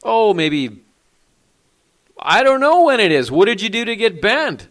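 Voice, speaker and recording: A man hosting a call-in show, taking calls briskly and waving off the pranksters. His voice sits a little high, and his tone stays dry and matter-of-fact. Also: sing-song, a bit bright.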